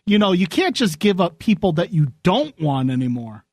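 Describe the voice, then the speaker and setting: High-pitched man, casual comedy banter, halting and repetitive, dry close sound. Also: sing-song, a little mellow, no room sound. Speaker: show co-host.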